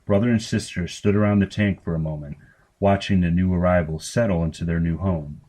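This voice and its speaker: Man with a low voice to narrate darkly comic short fiction from a script. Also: steady tone, brisk, warm tone. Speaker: story reader.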